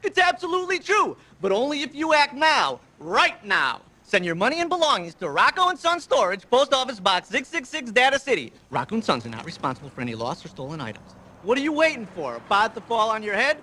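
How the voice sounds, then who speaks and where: A woman playing a brash, urgent pitch-woman in a hard-sell parody TV commercial, pushy and exaggerated. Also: very animated, fairly quick, few pauses, pushed and bright, exaggerated voice. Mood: quick disclaimer.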